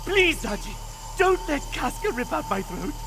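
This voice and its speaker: An actor playing a cowardly, weaselly dark elf thief in a video game, nervous, grovelling and stammering. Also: male voice, very high voice, very animated, normal speed, vocal fry, background noise, exaggerated voice. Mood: pleading, panicked. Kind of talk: video game character dialogue.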